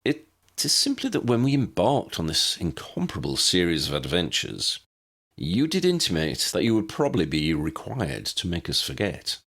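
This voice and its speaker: A man's voice: low-pitched, wildly swooping pitch, moderate pace, fairly bright tone, breathy edge, heavily acted. A man voicing a wordy, courteous, eccentric old man in an audiobook, with formal and ornate phrasing. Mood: pedantic. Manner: formal, ornate, pompous diction.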